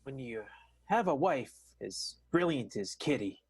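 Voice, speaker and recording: A man's voice: medium pitch, sing-song, a little slow. A man playing a hapless, self-entitled theater manager, telling his story conversationally in an improvised radio soap. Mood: glib, rueful.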